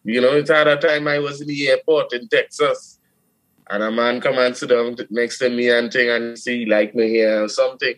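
Man, Trinidadian accent, casual interview guest, animated, rambling restarts. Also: mid-range voice, low-quality recording. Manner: animated storytelling, frequent "you know" and restarts. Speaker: guest, steelpan player and producer.

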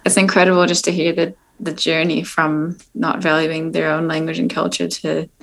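Low, gravelly woman asking questions. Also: flat delivery, moderate pace, rough recording. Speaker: podcast co-host. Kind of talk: interview questions.

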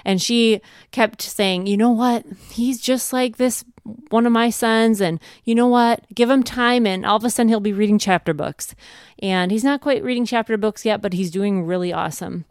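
A woman's voice: a little high, moderate pace, clean tone, dry recording, studio quality. A woman co-hosting a podcast, giving conversational advice in an encouraging, reassuring way. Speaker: podcast co-host.

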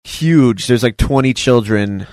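A man's voice: medium pitch, takes it slowly, darkish tone, no room sound, clean studio sound. A man chatting as a podcast guest about his theater, laid-back and laughing easily. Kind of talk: casual podcast chat.

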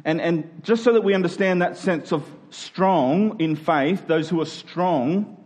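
A man's voice: high-pitched, measured pace, a little mellow. A man with an Australian accent preaching a conversational sermon, explaining step by step and leaning on key phrases. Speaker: pastor.

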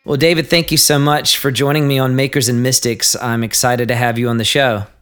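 A man hosting a podcast, reading a measured, earnest introduction and then chatting with his guest. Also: medium pitch, flat delivery, fairly bright tone, clean tone. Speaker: podcast host. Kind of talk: scripted intro then interview chat.

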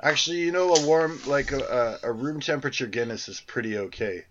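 A man's voice: mid-range voice, a little fast, highs cut off, a little hiss or hum. A man co-hosting a podcast in casual chat, telling crude rambling stories. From Canada. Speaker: podcast co-host.

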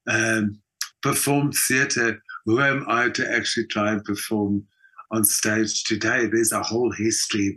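A middle-aged South African man with a husky voice, talking reflectively in an interview, emphatic, with halting repeats and pauses. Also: on the low side, bright, forceful tone, rough recording. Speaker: actor and singer being interviewed.

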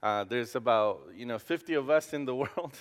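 A man's voice: medium pitch, expressive, moderate pace, clear, resonant tone, big-room sound. A man in his thirties from Costa Rica with a Costa Rican accent, preaching as a guest campus pastor in a casual, joking way with frequent hesitations. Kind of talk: casual sermon. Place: church sanctuary.

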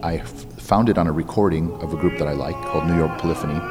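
Deep-voiced man explaining in an interview. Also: fairly even intonation, very fast, in a large hall, music in the background. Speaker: music director of an a cappella group being interviewed. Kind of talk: conversational interview.

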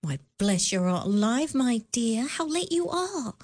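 A woman's voice: fairly high pitch, very animated, unhurried, darkish tone. An actress playing a spirited mother of a poor family in a radio play. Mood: fond. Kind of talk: radio drama acting.

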